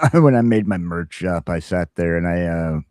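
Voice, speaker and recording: A man with a very low, deep voice hosts a laid-back morning chat. He jokes at his own expense in an informal, conversational way. The sound is dry and close, free of echo. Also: animated, normal speed, a little mellow. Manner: joking, self-deprecating. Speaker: talk show host. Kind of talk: casual morning chat show.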